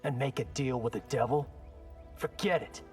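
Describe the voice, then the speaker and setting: An actor playing a defiant, skeptical young warrior in a video game, with a blunt, casual, challenging delivery. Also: male voice, mid-range voice, moderate pace, close to an everyday voice. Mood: sardonic. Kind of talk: video game character dialogue. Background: game music.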